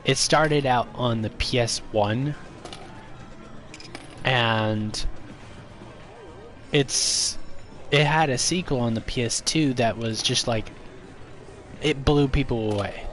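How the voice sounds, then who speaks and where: A man with a stuffy, congested voice chats through a game stream, offering his opinions in a relaxed, talkative way. He speaks slowly, at an easygoing pace. Faint music plays behind him. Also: medium pitch. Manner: relaxed, opinionated, chatty with viewers. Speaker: gaming streamer. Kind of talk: casual chat while streaming a game.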